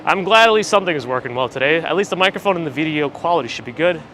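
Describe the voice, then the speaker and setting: Man, live-stream host, casual chat, slightly gravelly, phlegmy, walking outdoors, loud street traffic behind. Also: on the high side, very fast. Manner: relaxed, chatty, dry asides. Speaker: livestream host. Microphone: handheld gimbal camera rig with recorder. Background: traffic and city ambience.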